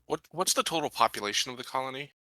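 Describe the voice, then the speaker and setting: A man playing a tabletop game, talking conversationally and somewhat haltingly as he plays. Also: medium pitch, expressive, pushed and bright, no echo, poor audio.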